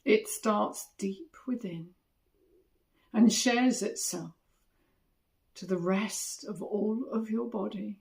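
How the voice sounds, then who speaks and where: Woman, meditation teacher, very unhurried, creaky voice, soothing, short phrases with long pauses. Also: medium pitch. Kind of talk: guided body scan meditation.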